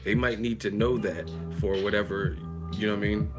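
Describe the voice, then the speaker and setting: A man hosting a live boxing commentary stream, hoarse from hours of talking, rambling loosely and profanely with lots of fillers. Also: lowish voice, fairly even intonation, fairly quick, over loud music. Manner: rambling, loose and profane, with many fillers like "you know what I mean". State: hoarse, losing his voice.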